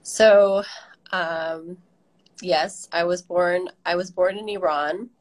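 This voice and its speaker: A woman's voice: fairly low pitch, steady tone, slow pace, poor audio. A middle-aged woman with an American accent answering interview questions reflectively over a video call from a room at home. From Southern California, US. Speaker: interview guest, garden director. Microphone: video call, device at arm's length.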